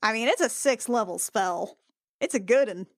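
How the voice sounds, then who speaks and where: A woman's voice: a little high, lively intonation, normal speed, dry recording. Woman player, casual, dry sound. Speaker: role-playing game player. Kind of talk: casual tabletop game chat.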